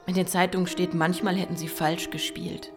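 A woman's voice: on the low side, little pitch movement, lightly acted. Actress as probing, direct woman, music behind. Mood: measured. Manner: short, probing, deliberate phrases. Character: searching, direct Polish woman, daughter of a composer. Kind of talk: radio drama acting.

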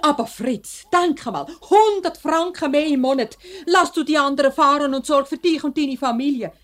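A woman's voice: high voice, normal speed, lightly acted. An actress playing a practical, insistent older mother in a 1950s radio play, nagging in a Swiss German accent. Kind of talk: mid-century radio play acting. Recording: vintage 1950s radio drama recording.

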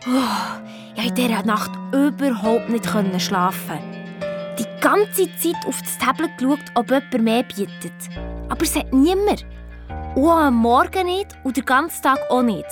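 A high, youthful girl's voice with a Swiss German accent. She is a spirited, quarrelsome kid detective who tells her adventure in everyday language, as in a children's audio drama. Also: moderate pace, music in the background. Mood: worried. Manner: colloquial, animated storytelling with cheeky retorts. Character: spirited, bickering young girl detective telling her adventure.

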